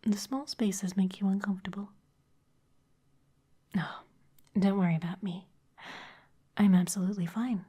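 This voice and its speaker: A woman voicing a sly, intimidating female vampire executive in a close, breathy ASMR whisper, polished and teasing. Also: medium pitch, fairly even intonation, moderate pace, pauses often, mellow, natural voice. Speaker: ASMR performer.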